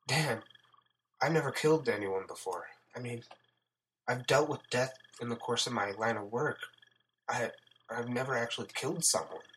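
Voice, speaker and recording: A man's voice: medium pitch, normal speed, a bit bright, slight background noise, natural voice, poor audio. A man voicing a shaken, pleading woman in an audiobook story, hesitant and distressed. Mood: upset. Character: shaken, pleading woman who has just shot an intruder.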